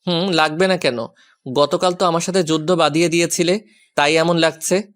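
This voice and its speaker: A man's voice: a little high, steady tone, a little fast, fairly bright tone, lightly acted. Man acting teasing, grumpy young boyfriend. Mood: teasing, mock-annoyed. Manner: teasing, mock-annoyed. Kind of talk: romantic audio story acting.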